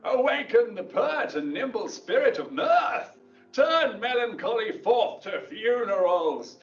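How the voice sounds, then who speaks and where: Grand lovestruck duke, shakespeare audio drama, high voice that swoops all over, echoey n a bit muffled. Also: male voice, big pitch swings, takes it slowly, faint music behind, heavily acted. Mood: festive command. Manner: grand, swooping, lyrical declamation. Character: stately, amorous duke of Athens. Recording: dull, echoey playback from a distance.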